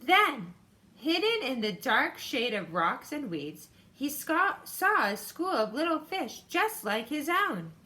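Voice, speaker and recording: A woman's voice: sits high, big pitch swings, slow, bright, forceful tone. A woman teacher reading a picture book aloud to children and explaining it in an instructive way, addressing them directly.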